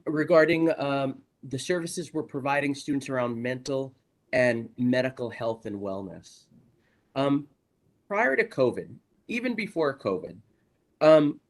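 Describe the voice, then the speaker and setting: Hoarse guy on a video call, earnest board report, mid pitch. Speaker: college administrator giving a report. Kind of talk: formal presentation at a board meeting.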